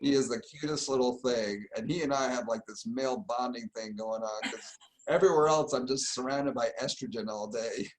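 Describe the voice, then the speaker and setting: Middle-aged guy from argentina chatting in an interview, mid-pitched voice, relaxed storytelling. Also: brisk, poor audio. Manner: explanatory, easygoing storyteller. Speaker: plastic surgeon, guest. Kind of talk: casual interview.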